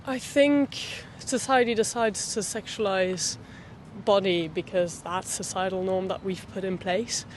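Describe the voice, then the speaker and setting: Male interviewee from Belgium, high-pitched, passionate, faint street noise. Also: steady tone, measured pace. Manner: passionate, repeats "I mean". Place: city street outdoors.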